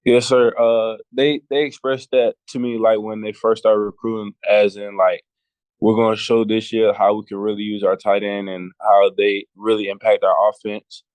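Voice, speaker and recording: A teenage boy from Georgia, a football recruit answering interview questions politely, in a laid-back and halting way. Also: lowish voice, level tone, a little mellow, clear, resonant tone, dry recording, low-quality recording. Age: teens.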